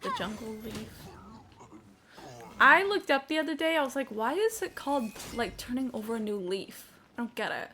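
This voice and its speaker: A woman's voice: fairly high pitch, sing-song, moderate pace, pushed and bright. A woman chatting casually as she streams a game, swearing and rambling as she thinks aloud. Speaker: live streamer. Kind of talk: casual gameplay stream chat.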